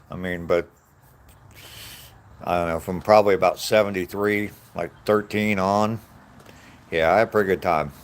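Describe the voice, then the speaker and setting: A man in his sixties from the United States, chatting casually with live viewers while out on a walk, reminiscing in an easygoing way and chuckling often. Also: low voice. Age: 60s. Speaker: vlogger answering live chat. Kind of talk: casual rambling chat. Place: outdoors on a walk.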